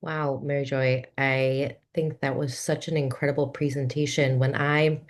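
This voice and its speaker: A woman's voice: extremely low pitch, flat delivery, moderate pace. A woman moderating a webinar, enthusiastic, asking questions with many fillers.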